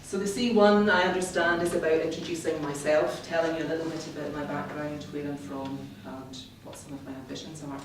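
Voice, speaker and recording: A very reverberant, noisy recording of a middle-aged woman giving a prepared speech. Her delivery is measured, wry and self-deprecating, picked up from some way off in the room. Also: sits low, steady tone. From Scotland, born in the Highlands. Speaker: club member giving a first speech. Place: indoor meeting room, in front of an audience. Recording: very echoey room, distant mic, clearly noisy.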